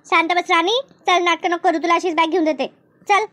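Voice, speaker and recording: A woman's voice: very high-pitched, fairly even intonation, brisk, fairly bright tone. A woman voicing a hard-bargaining village mother in a comedy cartoon, argumentative, firm and chatty. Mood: resigned. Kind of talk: Marathi comedy cartoon dub.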